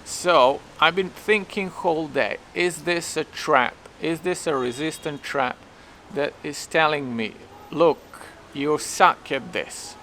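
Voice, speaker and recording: Bright-voiced Serbian-accented man thinking aloud. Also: a little high, slow, slight background noise. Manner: hesitant, self-questioning, restarts often. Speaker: vlogger. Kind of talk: casual thinking-aloud vlog. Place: outdoors waiting for a taxi.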